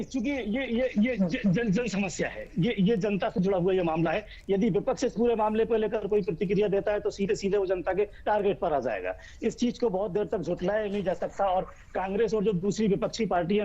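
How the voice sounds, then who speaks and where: A man reports live as a bureau chief. His voice sits high but has a soft, dark tone. He speaks emphatically and wordily, repeating phrases as he builds his point, and the link sounds dull and muffled. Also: brisk, few pauses. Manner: emphatic, wordy, repetitive phrasing. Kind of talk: unscripted live news reporting.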